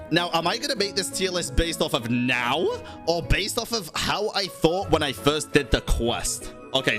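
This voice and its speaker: A man streaming casual commentary to his chat, talking emphatically, repeating himself and swearing freely. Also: on the high side. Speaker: streamer and YouTube host.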